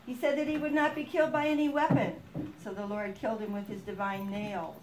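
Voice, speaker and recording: A woman's voice: medium pitch, fairly even intonation, brisk. A woman narrating a devotional puppet show as a storyteller, stressing the start of each phrase. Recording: echoey room with faint background noise.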